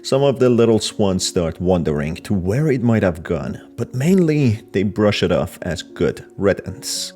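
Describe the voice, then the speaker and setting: Casting: male video narrator, low register, scripted story recap over a light music bed. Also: mellow. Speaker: video narrator explaining horror stories.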